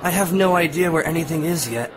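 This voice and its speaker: A man playing a friendly, easygoing teenage boy in an amateur audio drama, casual and relaxed. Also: on the high side, fast talker, natural voice. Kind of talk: amateur radio drama acting. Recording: noisy, busy crowd ambience behind.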